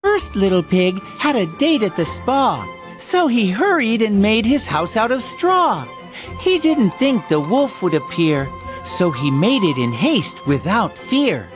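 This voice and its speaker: A woman giving scripted rhyming storybook narration in a measured storytelling way. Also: mid-range voice, very animated, soft background music.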